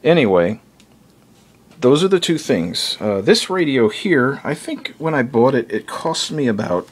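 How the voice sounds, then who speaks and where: A man's voice: medium pitch, big pitch swings, a little slow, husky. An American man giving a casual, unscripted explanation as a video blogger, talking in a rambling, conversational way. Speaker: video blogger, radio hobbyist.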